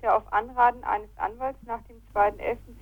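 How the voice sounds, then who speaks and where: A dull, lo-fi radio recording carries a woman correspondent's report. Her voice sits a little high. She reads a prepared account from court for the news. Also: steady tone, a little fast, soft background music. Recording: muffled lo-fi phone-in radio report.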